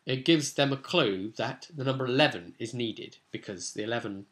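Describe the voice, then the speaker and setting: A man's voice: medium pitch, normal speed, fairly bright tone. A man talking informally and at length as a game master explaining a tabletop session. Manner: rambling, informal. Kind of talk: casual explanatory vlog talk.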